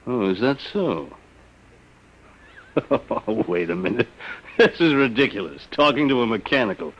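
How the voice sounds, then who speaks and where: An actor playing a blustering, skeptical middle-aged businessman and family man in an old radio drama, excitable and sardonic. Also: medium pitch, unhurried. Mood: skeptical, amused. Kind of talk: 1950s radio drama acting. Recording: dull old radio recording.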